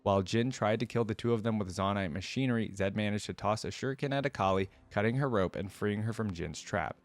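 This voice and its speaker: A man hosting a reaction video, reading aloud and breaking in with casual, curious commentary as he thinks out loud. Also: fairly low pitch, fast. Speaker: host of a lore reaction video.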